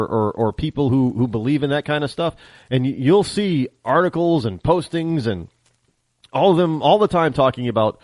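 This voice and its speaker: A man's voice: medium pitch, darkish tone, no echo. A man hosting a political talk radio show from his home studio, wry and teasing, often stammering and restarting his sentences.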